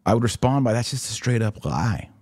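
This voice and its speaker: A man's voice: mid-range voice, expressive, fairly quick, darkish tone, husky, dry recording. A man hosting a podcast, giving emphatic live commentary and answering viewers, often repeating short phrases for stress. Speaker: podcast host and religious commentator.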